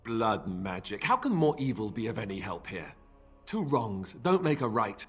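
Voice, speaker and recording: A man's voice: lowish voice, sing-song, normal speed, husky, faint music behind. An actor playing a morally troubled warrior in a video game, earnest and sceptical in his delivery. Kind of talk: dramatic video game acting.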